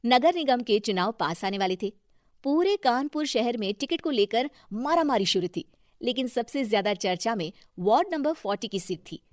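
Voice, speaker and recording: A woman's voice: fairly high pitch, expressive, normal speed, no room sound. Dry-sounding woman narrating a story. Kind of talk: scripted moral story narration.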